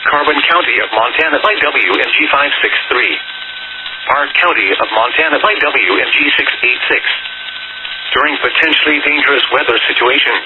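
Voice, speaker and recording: A dull, lo-fi radio signal with clear humming noise carries a man's mid-pitched voice. He reads a scripted public service announcement for a weather radio test.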